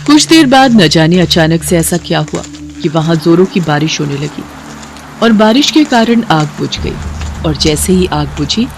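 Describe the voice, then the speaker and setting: A woman's voice: on the low side, expressive, moderate pace, a little hiss or hum. A woman narrator reading a scripted story in a dramatic storytelling style.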